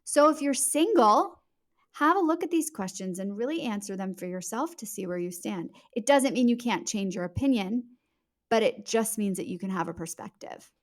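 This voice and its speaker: Emphatic, fluent woman with mid-pitched voice. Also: expressive, moderate pace. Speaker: guest author. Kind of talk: conversational interview explanation.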